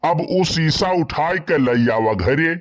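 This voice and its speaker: A man's voice: a little high, animated, slow. A man voicing a gossipy, mischievous village man in a comedy cartoon, speaking with an Awadhi accent from eastern Uttar Pradesh. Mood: wry storytelling.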